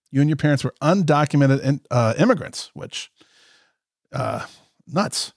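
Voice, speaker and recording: A man's voice: fairly high pitch, no room sound, studio quality. A man in his fifties co-hosting a podcast, jokey and chatty as he reads out a long guest introduction. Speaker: co-host. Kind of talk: podcast interview with an ad read.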